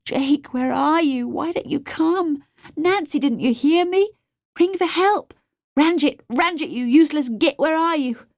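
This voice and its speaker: A woman's voice: high-pitched, unhurried, no echo, studio quality, pitched above the performer's own voice. A woman voicing a frightened but defiant young girl held captive in an audiobook story. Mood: pleading. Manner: sullen, desperate, pleading. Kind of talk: audiobook character voice.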